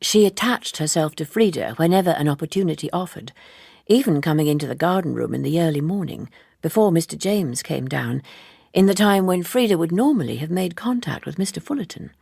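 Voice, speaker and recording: A woman narrating a literary audiobook in a measured, formal and precise way. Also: fairly low pitch, expressive, gravelly, a little mellow, breathy edge, no echo, clean studio sound.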